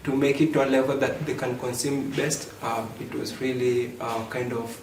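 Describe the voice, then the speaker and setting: A man's voice: mid-range voice, level tone, moderate pace. A man speaking reflectively to a group, hesitantly and in short phrases. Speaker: writer. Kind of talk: reflective talk in a group meeting. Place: indoor room at an in-person group meeting. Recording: echoey room, distant mic, clear background noise.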